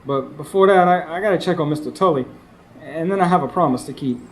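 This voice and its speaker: A man's voice: fairly high pitch, fast talker, natural voice, low-quality recording. An actor playing an earnest, weary young stable hand who narrates his own story in a radio drama. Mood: gentle. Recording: live stage recording, faint background noise.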